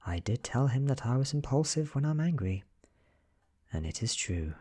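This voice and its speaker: A man with a British accent giving a soft-spoken, near-whispered ASMR reading of a horror story, close to the microphone. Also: mid-range voice, sing-song, moderate pace, slightly rough. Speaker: narrator.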